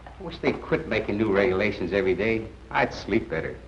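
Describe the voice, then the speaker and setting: A man's voice: on the low side, lively intonation, brisk. A man playing a wry, casual secret agent being briefed in a 1940s training film. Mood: dry, casual. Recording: muffled, noisy 1940s film soundtrack.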